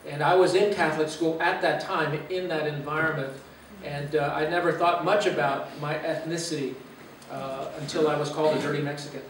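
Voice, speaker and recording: A man in his fifties from Los Angeles, giving an earnest, reflective talk as a guest speaker with frequent "uh" pauses. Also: fairly high pitch, steady tone, a little hiss or hum. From Los Angeles, USA. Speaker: guest speaker, university president. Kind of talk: personal talk to a church gathering. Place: meeting room or church hall. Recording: echoey room, mic far away.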